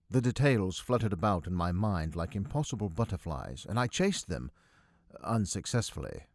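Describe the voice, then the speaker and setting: A man's voice: mid-range voice, dry recording, studio quality. A man narrating a dramatic first-person story as a miserly, befuddled middle-aged doctor, in a theatrical, literary delivery. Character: miserly, befuddled 45-year-old male doctor recounting his own tale.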